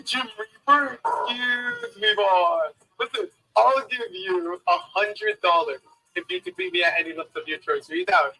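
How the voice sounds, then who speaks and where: A man's voice: sits high, moderate pace, sharp tone, soft background music, low-quality recording. A man acting in a comedy gym skit, cocky and teasing as he challenges someone to a lift.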